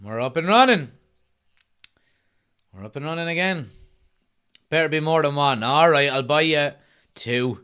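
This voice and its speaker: A man's voice: fairly high pitch, a little slow, long pauses, clean tone. A young Irish man hosting a live football watch-along stream, chatting casually, reading viewers' messages aloud and calling the match action. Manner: reads viewers' chat messages aloud and answers them; excited play-by-play bursts during match action.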